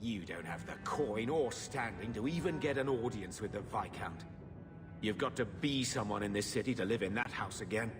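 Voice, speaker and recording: A man's voice: lowish voice, expressive, moderate pace, close to an everyday voice. Casting: man to play a weary, defeatist uncle of middle age, grudging tone, video game drama, music underneath. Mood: dismissive. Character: bitter, defeatist older uncle, down on his luck. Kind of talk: video game cutscene acting. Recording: long hall reverb, music behind.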